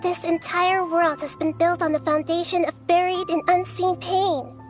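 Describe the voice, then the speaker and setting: A woman voicing a small childlike goddess in a video game, speaking in a measured, earnest and philosophical way, gentle but resolute. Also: extremely high pitch, fairly even intonation, soft background music.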